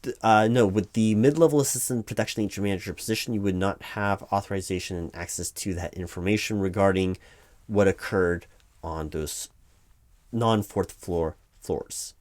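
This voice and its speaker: Low-voiced man as a flustered, stammering interviewer. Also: normal speed, a little mellow. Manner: stammering, rambling, officious. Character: flustered male corporate hiring manager, distracted and evasive. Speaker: performer playing a job interviewer. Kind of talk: one-sided comedic ASMR interview roleplay.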